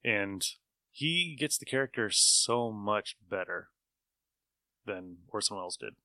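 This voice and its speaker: A man hosts a casual podcast discussion in a lowish, bright voice. The sound is close and dry. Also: animated, slow, dry recording. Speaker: podcast host. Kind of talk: casual chat.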